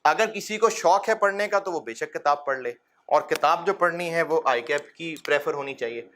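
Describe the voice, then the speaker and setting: Middle-aged man, accounting lecturer: bright, forward voice, pitched a touch high, coaxing and fatherly with the class. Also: normal speed, a little hiss or hum. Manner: fatherly and encouraging, addresses students as son; rhetorical questions, gentle humor.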